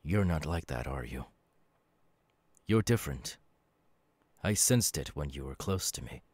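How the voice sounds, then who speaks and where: Demon warrior, male actor, audio roleplay: low-pitched, knowing, calmly menacing, halting dramatic delivery, dry recording. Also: husky, no room sound, exaggerated voice. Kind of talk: ASMR audio roleplay monologue.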